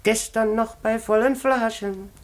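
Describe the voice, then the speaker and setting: A woman's voice: on the high side, steady tone, a little slow. An actress playing a cheeky, mocking village woman who gossips in a radio play. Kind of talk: German radio play acting.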